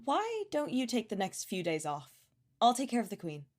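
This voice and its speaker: An actress playing a kind, worried young servant woman in an audio drama, gentle and solicitous. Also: on the high side, huge pitch swings, normal speed. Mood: gentle concern.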